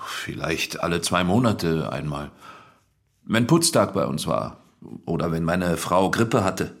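A man's voice: sits low, expressive, unhurried, gravelly, heavily acted. An actor playing an accused married tradesman, guarded, terse and uneasy, in an audio drama.

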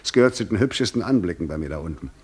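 Sardonic, theatrical Devil with swooping intonation. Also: male voice, low-pitched, lively intonation, racing, darkish tone, slightly rough. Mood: musing, grandiose. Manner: ironic, grandiose, eloquent, amused. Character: the Devil, proud, sardonic, worldly-wise old tempter. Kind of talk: German radio play acting, theatrical.

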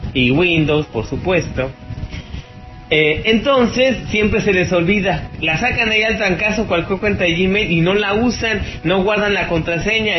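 A man with a Mexican accent hosting a radio show, giving an informal tech-advice monologue in a colloquial, mocking, teasing manner. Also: a little high. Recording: dull lo-fi radio stream over loud music. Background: music.

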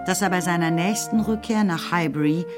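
A woman narrating a radio play in a measured, lightly ironic manner. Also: lowish voice. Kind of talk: scripted literary narration. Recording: narration over music.

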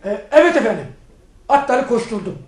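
An eager army sergeant in a radio drama. The voice is strikingly high and swoops about, rattling off deferential answers quickly. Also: male voice, very animated, reverberant. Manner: deferential, hurried, snappy replies.

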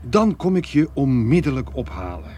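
An actor with a Netherlands Dutch accent playing a caring but strained adult son, coaxing in a radio play. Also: male voice, mid-range voice, big pitch swings, normal speed, mellow, slight background noise, lightly acted. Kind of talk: naturalistic radio drama dialogue.

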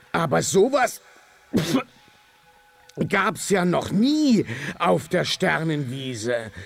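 A very old Sandman from a children's radio play. He blusters, mutters and exclaims in a creaky voice that leaps up and down. He is grumpy on the surface and good-hearted underneath, and takes his time. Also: high-pitched, full character voice. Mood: indignant. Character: ancient grumpy but good-hearted male Sandman of the star meadow.

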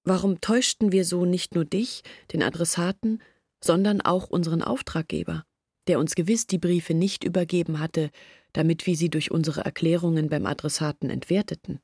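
An actress playing an anxious young village woman who earnestly pleads her family's case in a radio play, intimate and deliberate. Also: on the low side, steady tone, darkish tone, breathy edge, dry recording, studio quality. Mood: pleading. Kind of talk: literary radio play monologue.

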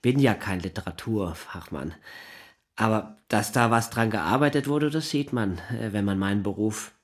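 An actor playing a flustered, talkative middle-aged newspaper science editor in a naturalistic radio drama, halting and digressive, with a husky voice. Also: male voice, on the low side, natural voice. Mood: politely chatty. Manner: halting, digressive, breaks off sentences.

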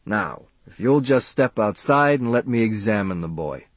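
Man acting pompous older doctor, smooth and self-important. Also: on the low side, animated, no room sound. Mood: firm, lecturing. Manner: pompous, clinical jargon, measured.

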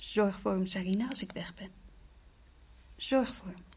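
A woman's voice: mid-range voice, normal speed, a little mellow, breathy edge. Proud, restless lady in radio drama. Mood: wistful, troubled. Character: proud, unhappy Dutch colonial lady, restless and commanding.

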